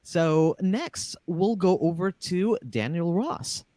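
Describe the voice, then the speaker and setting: A man's voice: sits high, slow, warm tone, no echo. A man hosting a panel, introducing guests and moderating in a conversational way, on a close studio microphone. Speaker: panel host.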